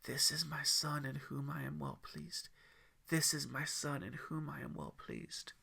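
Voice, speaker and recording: A man voicing a weakened, fasting holy man in an audiobook story, solemn and quietly certain, with a scratchy voice. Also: fairly high pitch, sing-song, gravelly, husky, exaggerated voice. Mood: faint, resolute. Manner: solemn, declarative.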